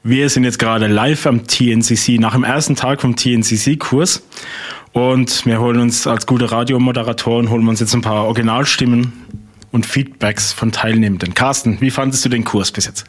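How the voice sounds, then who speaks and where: A man co-hosting a podcast in a relaxed, conversational way. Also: medium pitch, moderate pace, soft background music. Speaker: podcast co-host. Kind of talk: casual podcast chat. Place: on site at a training course.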